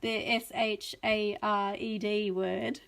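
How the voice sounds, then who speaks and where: A woman's voice: mid-range voice, flat delivery, slow pace, gravelly, a bit bright. A young adult Australian woman hosting a chatty live craft unboxing, gushing and enthusiastic, a little sniffly from a cold. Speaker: craft video host.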